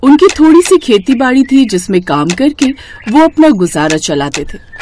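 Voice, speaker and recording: A woman's voice: a little high, big pitch swings, normal speed, warm tone, a little hiss or hum. A woman narrating a scripted story in storybook style.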